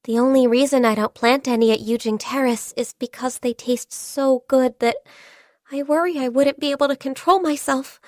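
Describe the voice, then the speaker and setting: An actress playing a gentle, diligent, shy and youthful half-beast secretary in a video game, speaking politely and earnestly. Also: female voice, high-pitched, steady tone, moderate pace, no echo, clean studio sound, natural voice. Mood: soft, serene. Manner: polite, earnest, hesitant when flustered. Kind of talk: video game character voice lines.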